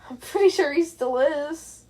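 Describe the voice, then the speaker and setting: Woman doing young guy in a story, kinda innocent, wry, gets frustrated, high voice. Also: a little slow, warm tone. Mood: sarcastic. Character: innocent, sarcastic young man, easily frustrated friend.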